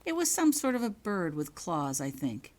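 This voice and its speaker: Storybook role: young witch pupil played by a woman, small-voiced, polite, earnest, very animated intonation. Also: fairly low pitch, normal speed. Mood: calm.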